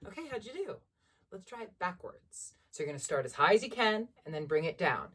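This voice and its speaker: A young woman with a generally low voice, teaching a beginner singing lesson from a keyboard at home and encouraging students as she explains. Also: big pitch swings, fairly quick, fairly bright tone, breathy edge, distant mic. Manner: encouraging, demonstrates vocal sounds between explanations. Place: small room at home, seated at a keyboard.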